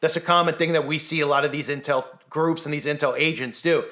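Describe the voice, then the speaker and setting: A man's voice: on the high side, fairly even intonation, fast, bright, forceful tone. A man talking through a conspiracy commentary monologue, insistent and rhetorical, now and then acting out imagined voices. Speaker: commentary channel host.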